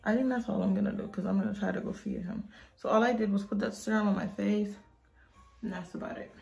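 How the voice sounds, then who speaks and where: A woman's voice: mid-range voice, steady tone, quick pace, creaky, darkish tone, rough recording. A young woman in her twenties, vlogging casually to her phone in a small bathroom at home, talking loosely and informally to her viewers as y'all. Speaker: vlogger, mother. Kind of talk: casual vlog chat to camera. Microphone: phone camera held close.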